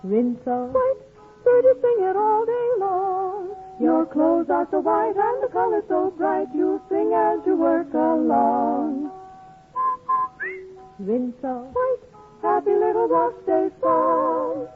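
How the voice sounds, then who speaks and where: Very high woman singing a radio jingle. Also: lively intonation, slow pace. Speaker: jingle singer. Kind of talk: sung 1940s radio jingle. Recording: muffled vintage radio, music behind.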